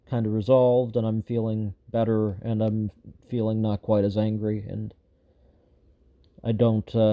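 A man hosting a show gives an unscripted update to viewers, rambling and frustrated, with lots of fillers and restarts, and he sounds slightly hoarse. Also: mid-range voice, warm tone. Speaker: show host giving an update.

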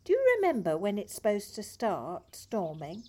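An actress playing a patient, caring hospice nurse in an audio play, speaking in a gentle, hesitant, reassuring way. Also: female voice, medium pitch, wildly swooping pitch, normal speed. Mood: calm, reassuring.

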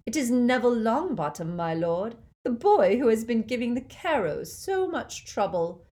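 A woman putting on a gleeful, fanatical dark witch from a storybook reading, eager and delighted as she speaks. Her voice lifts high and loud above the narration. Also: very animated, normal speed.

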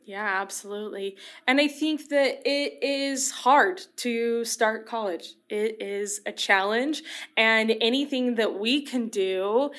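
A woman with a slightly high voice hosts a student podcast. She sounds upbeat and encouraging as she leads the chat and welcomes her guests. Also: takes it slowly, a bit bright. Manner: enthusiastic, encouraging. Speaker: podcast host.

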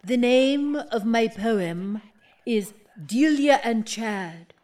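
A woman plays an eccentric small-town poet who runs a feed store and demands an audience. She recites her verse with solemn, drawn-out slowness, every line weighed for effect. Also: fairly high pitch, lively intonation, a little mellow. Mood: reciting. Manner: insistent, solemn, slow dramatic recital.

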